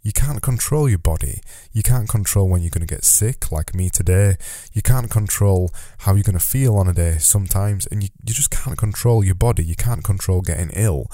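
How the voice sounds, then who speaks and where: A man's voice: deep voice, normal speed, few pauses, dark tone, no room sound, clean studio sound. A man with a cold and a nasal, stuffy voice, hosting a solo podcast in a rambling, self-deprecating but motivational way. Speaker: podcast host.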